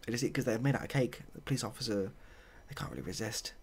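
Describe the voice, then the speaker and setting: A soft-spoken man improvising a story in a hesitant, dry, rambling and self-deprecating way. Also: mid-range voice, lively intonation, fast, gravelly, husky. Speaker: ASMR video host.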